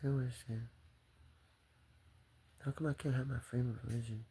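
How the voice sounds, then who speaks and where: A man's voice: medium pitch, steady tone, fairly quick, dark tone, slightly rough. A man talking to the camera in a rambling, swearing monologue that jumps between topics.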